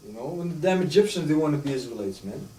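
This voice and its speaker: An emphatic man holds forth in a group discussion, ending almost every line with "man". His voice sits a little high and dark. He sounds distant in a roomy space with faint noise behind. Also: expressive, fast talker. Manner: emphatic, repeats "man" often. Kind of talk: casual religious discussion. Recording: echoey room from far off with faint noise.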